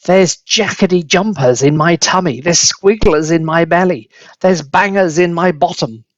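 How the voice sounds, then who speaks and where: A man voicing a crotchety, gleefully mad old grandmother in a storybook, with screeching, drawn-out exclamations. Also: a little high, no echo, highs cut off, voice pushed up, lightly acted. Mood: screaming complaint.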